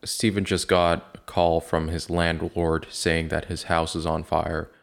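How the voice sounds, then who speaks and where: A man with a low voice on a casual podcast. He delivers his lines with dry, sarcastic understatement. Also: little pitch movement, measured pace, creaky. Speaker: podcast co-host.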